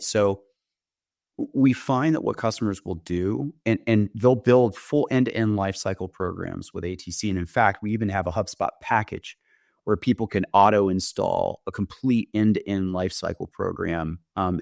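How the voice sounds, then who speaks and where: A man with a deep voice talks through a product as its founder. His delivery is a conversational, explanatory pitch, punctuated with little "right?" check-ins. The sound is dry, with almost no room to it. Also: vocal fry, no room sound. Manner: explanatory, often tags points with "right?". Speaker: company founder demonstrating software, interview guest.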